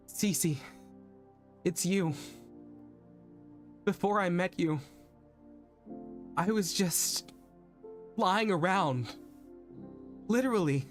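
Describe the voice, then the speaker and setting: Undead patchwork lover male actor: high voice, earnest, halting, theatrical pleading, music bed. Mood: tender. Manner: halting, earnest dramatic monologue with repeated phrases. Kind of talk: theatrical romantic monologue.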